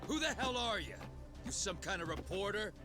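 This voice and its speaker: A man playing a suspicious, confrontational yakuza henchman in an English-dubbed video game, quick to insult. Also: sits high, lively intonation, over music. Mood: suspicious, hostile.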